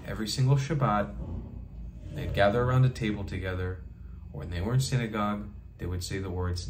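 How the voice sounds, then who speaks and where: A man's voice: fairly low pitch, a little hiss or hum. A young man talking straight to the camera in a small bedroom, giving an impassioned monologue and repeating phrases for emphasis. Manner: emphatic, repeats phrases for rhetorical effect, gestures with hands. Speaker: travel vlogger.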